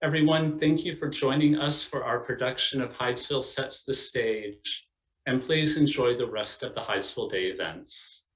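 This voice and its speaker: A man presents in a formal, scripted manner. His mid-range voice is measured, like a narrator introducing a community stage production. The sound is noticeably roomy and echoing. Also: medium pitch, fairly bright tone.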